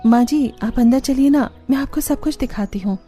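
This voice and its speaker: Woman acting a raised-pitch, earnest young village daughter-in-law. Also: moderate pace, dark tone, soft background music, lightly acted. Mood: pleading.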